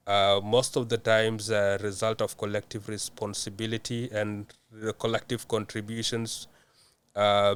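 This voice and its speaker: A young man from South Sudan answering interview questions in a measured way, with many hesitant fillers. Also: on the low side, clear, resonant tone. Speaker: guest.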